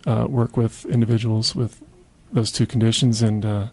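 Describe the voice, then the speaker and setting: Mellow-voiced man hosting a radio interview. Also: on the low side, little pitch movement, moderate pace.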